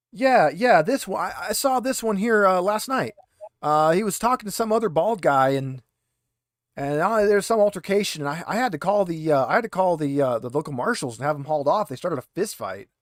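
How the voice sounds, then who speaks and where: A man's voice: high-pitched, expressive, moderate pace, no echo, clean studio sound, voice pushed up. Man playing a hesitant young woman bartender. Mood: unsure, helpful. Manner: hesitant, stammering, informal. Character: woman bartender in her late twenties, guarded and abandoned.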